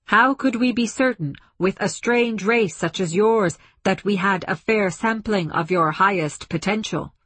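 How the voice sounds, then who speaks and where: A woman voicing an unyielding, authoritative alien from a spaceship in formal, measured declarations for a science fiction story. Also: medium pitch, fairly bright tone, breathy edge, no echo, pitched above the performer's own voice. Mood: insistent.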